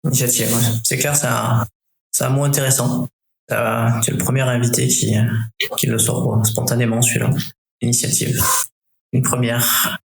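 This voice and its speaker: Gravelly host in an echoey room. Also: male voice, medium pitch, moderate pace, pauses often. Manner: casual, relaxed questioning with fillers. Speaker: podcast host. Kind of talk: interview questions. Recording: roomy echo, faint noise.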